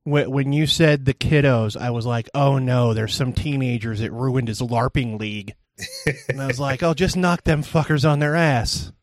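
A man with a mid-pitched voice trades casual comedic banter as a podcast co-host. He is wisecracking and a bit foul-mouthed, recorded dry with no audible room. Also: normal speed, no echo. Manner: wisecracking, profane.

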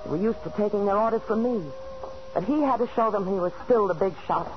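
A woman's voice: mid-range voice, fast talker, slight background noise, natural voice. A woman playing a bitter, stifled wife in a 1940s radio drama, speaking in a tense, confiding, dramatic way. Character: bitter, stifled wife telling of her failing marriage. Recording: dull 1940s radio recording with music.

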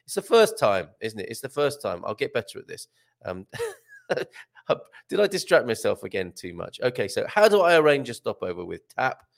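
A man's voice: medium pitch, sing-song, normal speed, vocal fry. A man hosting a casual live stream, reading items aloud and rambling off into jokey asides. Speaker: host of an expat live stream.

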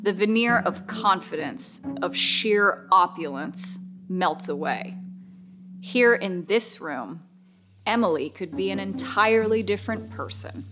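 A woman reading scripted horror narration in a measured, suspenseful way. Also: mid-range voice.

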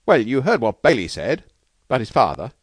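A man narrator puts on a tough, good-hearted trainer from a novel. He talks in brash street slang with emphatic swings of pitch, close and dry. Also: very animated, a little fast, husky, no echo. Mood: blunt, warning.

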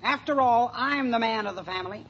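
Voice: medium pitch, fairly quick, fairly bright tone, breathy edge, lo-fi sound. A performer playing an earnest, solemn, pompous boy acting as man of the family in a 1950s radio sitcom. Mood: solemn, indignant. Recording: slightly noisy old radio recording.

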